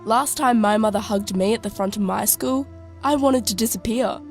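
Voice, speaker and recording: A woman's voice: mid-range voice, normal speed, faint music behind, close to an everyday voice. A young woman playing a worldly, teasing, sarcastic teenage girl in an audio drama. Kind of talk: scripted audio drama dialogue.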